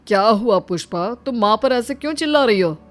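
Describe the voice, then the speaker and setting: A woman's voice: on the high side, animated, brisk, faint music behind, lightly acted. A woman voicing a mild middle-aged husband and father in a family storybook tale, earnest and subdued. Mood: concerned.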